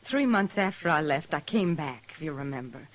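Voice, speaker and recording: A woman's voice: low voice, lively intonation, moderate pace. An actress playing a world-weary, defiant frontier woman in a radio western, plaintive but hard-edged. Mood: bitter, defiant. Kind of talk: 1950s radio western acting.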